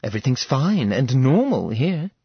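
A man's voice: mid-range voice, very animated, breathy edge, no room sound, muffled recording, full character voice. A man voicing a mocking, bloodthirsty goddess. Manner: theatrical, taunting, drawn-out threats dropping to a whisper. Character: regal menacing goddess woman, bloodthirsty and mocking.

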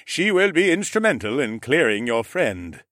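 A man voicing a stately, formal male ram lord who speaks in measured, courtly phrases. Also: medium pitch, sing-song, fairly bright tone, no room sound, studio quality, voice pushed up. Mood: earnest.

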